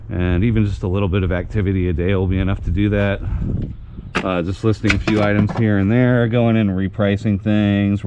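Low-voiced man rambling outdoors. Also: warm tone. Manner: rambling, thinking aloud. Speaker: vlogger. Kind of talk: casual outdoor vlog commentary while scavenging. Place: outdoors in an industrial park lot. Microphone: body-worn action camera. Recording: muffled action-camera audio with clear outdoor noise.

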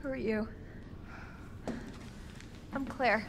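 A woman's voice: fairly high pitch, steady tone, drawn-out words, gravelly, exaggerated voice. An actress playing a determined, protective young woman in a video game cutscene, earnest and urgent, fierce and caring. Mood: urgent, worried. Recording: echoey hall, faint background noise.

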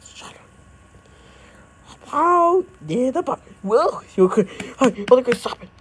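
A man doing an exaggerated, excitable amateur parody dub of a cartoon, prone to shouting and yelping. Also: very high-pitched, slow pace, breathy edge. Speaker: amateur fan dubber.

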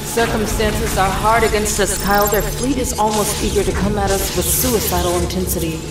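A woman's voice: medium pitch, fairly even intonation, normal speed, lightly acted. A woman playing a proud, resolute Amazon warrior in a comic-book drama, formal and grave. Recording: echoey, distant, under loud music. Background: loud music.

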